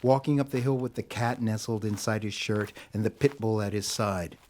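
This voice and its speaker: Low-voiced man narrating a dramatic story. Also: level tone, normal speed, a little mellow, a little hiss or hum.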